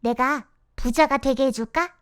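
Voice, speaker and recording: A woman voicing a scheming one-eyed fox in a storybook, talking slyly and quickly. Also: high-pitched, no room sound, studio quality. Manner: sly, conspiratorial, quick.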